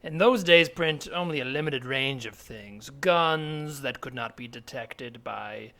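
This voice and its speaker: A man playing an excitable, pompous scholar from the far future in improvised comedy, gushing and halting in a mock-learned way. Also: mid-range voice, creaky. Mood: earnest, fascinated.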